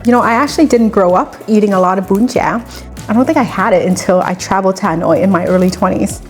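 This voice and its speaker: A woman's voice: mid-range voice, darkish tone. A woman hosting a cooking video, walking through a recipe in a chatty, conversational way with personal asides. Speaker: cooking channel host. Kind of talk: cooking tutorial with personal chat. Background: faint background music.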